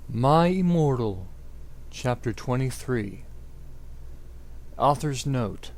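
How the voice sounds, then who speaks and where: A man narrating a story as a dramatic reading, theatrical and deliberate. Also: mid-range voice, animated, darkish tone. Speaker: amateur narrator. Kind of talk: dramatic reading of comic fan fiction.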